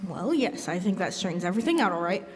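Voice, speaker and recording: A woman's voice: fairly low pitch, big pitch swings, quick pace. A young woman playing an exasperated, bossy middle-aged father in an old-style radio comedy performed on stage. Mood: firm, weary. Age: teens or young adult. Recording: live stage hall, long echo.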